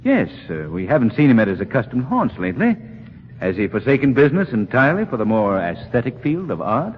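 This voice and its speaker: An actor playing an urbane, genial gentleman in a 1940s radio drama, polished and courteous. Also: medium pitch, huge pitch swings, brisk. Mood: polite, amused. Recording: scratchy, dull 1940 radio recording.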